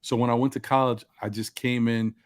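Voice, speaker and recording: Male panelist, deep voice, articulate delivery, thoughtful personal talk, very little room sound. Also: flat delivery, a little fast, no room sound. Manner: precise, articulate enunciation. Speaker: panel participant. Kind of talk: reflective personal talk in a group discussion.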